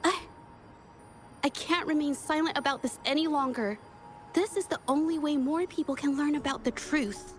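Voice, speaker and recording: A woman as a young painter, earnest, halting, high-pitched. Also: faint music behind, close to an everyday voice. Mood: determined. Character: shy but resolute young female painter. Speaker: accuser in court.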